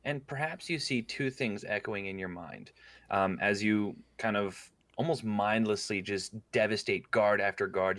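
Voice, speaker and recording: A low-voiced man narrates a tabletop role-playing scene as game master, his delivery unhurried and full of small fillers. The sound is close and dry, with no room in it. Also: fairly bright tone, dry recording. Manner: slow descriptive narration with fillers.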